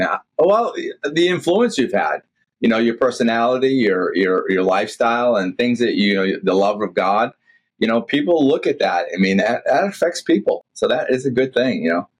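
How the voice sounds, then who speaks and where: A man with a deep baritone voice hosting a podcast interview, talking in a rambling way with many restarts. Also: expressive, normal speed. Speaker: podcast host. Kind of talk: casual interview chat.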